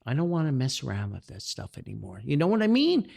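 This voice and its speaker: A man talks as a podcast host. His delivery is highly animated, with a medium pitch that moves freely. He tells reflective stories in a folksy, sentimental, earnest manner. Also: fast, vocal fry. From Paterson, New Jersey, United States.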